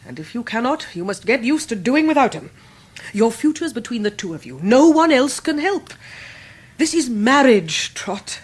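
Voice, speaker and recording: An English actress playing a wise older Victorian aunt, measured and affectionate, in a radio drama. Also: female voice, fairly high pitch, sing-song, husky. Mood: firm, wise. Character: gruff but tender, wise old Victorian great-aunt. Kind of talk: 1990s radio drama acting.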